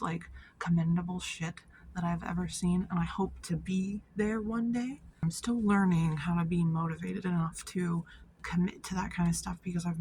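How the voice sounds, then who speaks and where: Low, even-toned casual woman vlogger. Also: a little hiss or hum. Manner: informal, slangy, frequent "like" and swearing. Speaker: video creator. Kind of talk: casual vlog commentary.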